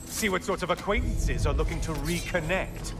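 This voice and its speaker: A man's voice: on the high side, normal speed, music in the background, natural voice. An actor playing a snide, mocking male god in a video game, sarcastic and cocky. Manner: sarcastic, sneering.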